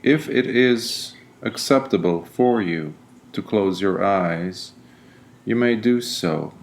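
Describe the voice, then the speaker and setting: A man leading a guided meditation in a deliberate, soothing way, pausing often between phrases. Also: fairly low pitch, vocal fry. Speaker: meditation guide, Buddhist monk.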